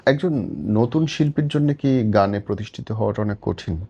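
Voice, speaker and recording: A man's voice: fairly low pitch, a little fast, creaky, dark tone, slightly rough, dull audio. A man asking casual questions as an interviewer.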